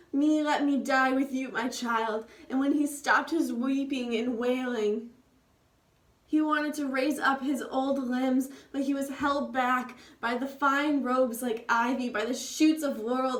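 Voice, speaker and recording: Young woman, high voice, reads tragedy with loud urgent expression; echoey, distant sound. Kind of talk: dramatic reading of a Greek tragedy. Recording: echoey room, distant mic.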